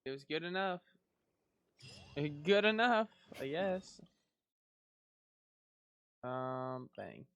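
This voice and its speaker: A man's voice: on the high side, lively intonation, drawn-out words, creaky, pauses often, fairly bright tone, a little hiss or hum. A man giving casual, offhand reactions while playing a game, often in muttered asides.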